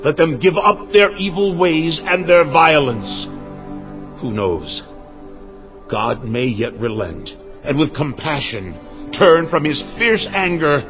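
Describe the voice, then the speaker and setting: A solemn, slow-spoken king. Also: medium pitch. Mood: solemn, hopeful. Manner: commanding, measured proclamation. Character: solemn, urgent king issuing a royal decree. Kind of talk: dramatized scripture acting. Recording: dull band-limited audio, faint music.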